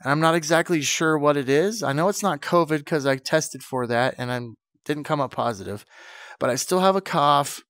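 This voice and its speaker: A man's voice: on the high side, moderate pace, a bit bright, dry recording, clean studio sound. A man with a cold and a fading voice, chatting enthusiastically as a channel host. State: sick, losing his voice. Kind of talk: casual vlog intro and comedy skit.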